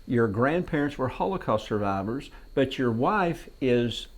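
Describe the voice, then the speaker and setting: A man's voice: mid-range voice, expressive, a little slow. A man hosting a TV talk show, asking guests questions in a folksy, joking way. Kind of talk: casual TV interview. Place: television studio.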